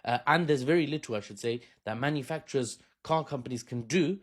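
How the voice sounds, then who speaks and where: A man hosting a casual political commentary, animated and joking with sarcastic asides. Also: mid-range voice, brisk. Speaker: host.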